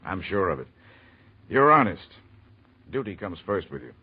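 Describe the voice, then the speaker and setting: Fatherly old doc in a 40s radio play, rough husky voice, folksy, tinny phone-like sound. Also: sits low, very animated, moderate pace, heavily acted. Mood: warm, weary, reassuring. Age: older. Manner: hesitant, folksy, avuncular. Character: kindly older male senior doctor, trusting and fatherly. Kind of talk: 1940s radio drama acting.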